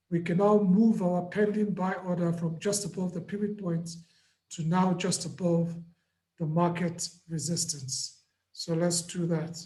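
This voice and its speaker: A man who has lost his voice, hoarse, patiently walking listeners through a live trading lesson and repeating key points. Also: high voice, warm tone, reverberant, low-quality recording. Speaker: trading mentor.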